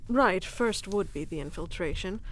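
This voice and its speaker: A woman's voice: mid-range voice, lively intonation, normal speed, a little hiss or hum, close to an everyday voice, low-quality recording. A woman playing a proud, protective warrior queen in an intimate fantasy audio roleplay, speaking with formal, wry, commanding diction. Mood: thoughtful, measured.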